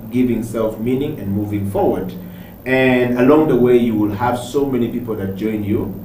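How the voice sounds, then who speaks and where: A man hosting a studio interview, speaking in a measured, reflective way with pauses. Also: mid-range voice, dark tone. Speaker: talk show host. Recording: roomy, distant mic with faint noise.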